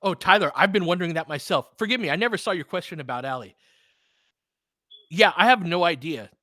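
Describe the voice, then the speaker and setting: A man's voice: high voice, lively intonation, fairly quick, pushed and bright, dry recording, clean studio sound. A man doing casual live basketball commentary and chatting with viewers, informal and slangy with some swearing. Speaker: host of a fan watch-along.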